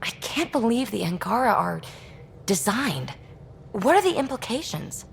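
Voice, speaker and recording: A woman's voice: very animated, measured pace, vocal fry, heavily acted. A woman playing an inquisitive, upbeat space explorer in a video game, speaking conversationally. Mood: curious. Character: curious, upbeat female science-minded space explorer. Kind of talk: video game dialogue acting. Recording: faint rumbling background noise.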